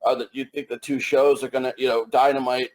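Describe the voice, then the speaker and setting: A man's voice: medium pitch, little pitch movement, a little fast. A male journalist asking a long-winded, hesitant question on a press conference call. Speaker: wrestling journalist.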